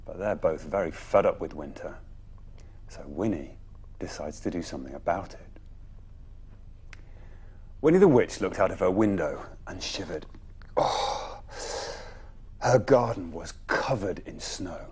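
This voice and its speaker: Husky, very animated male bedtime storyteller. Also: sits low. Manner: dramatic pauses, emphatic phrasing. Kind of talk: expressive children's story narration.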